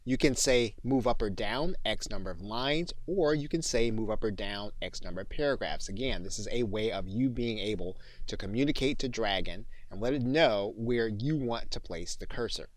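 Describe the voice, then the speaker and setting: Man, course instructor, lowish pitch, methodical explanatory delivery, scripted tutorial narration, very dry close recording. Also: animated, normal speed, few pauses, no echo.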